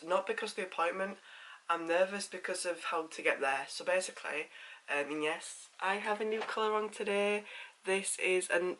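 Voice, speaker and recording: A man's voice: high voice, pushed and bright. A man with a Yorkshire accent, chatting casually to camera as a vlogger, rambling with lots of fillers, a bit congested with a sore throat.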